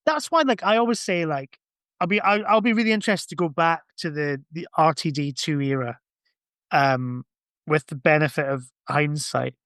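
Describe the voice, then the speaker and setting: Higher-pitched man in casual, reflective chat. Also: moderate pace, a bit bright, no room sound, studio quality. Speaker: podcast speaker.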